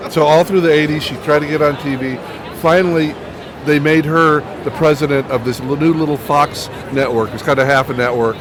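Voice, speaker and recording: A man's voice: fairly high pitch, fairly even intonation, a little fast, crowd noise. A middle-aged or older man answering as an interview guest, telling casual anecdotes and often restarting his phrases. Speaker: interview guest, TV writer and producer. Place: busy comic convention. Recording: noisy outdoor-sounding convention recording with traffic-like din.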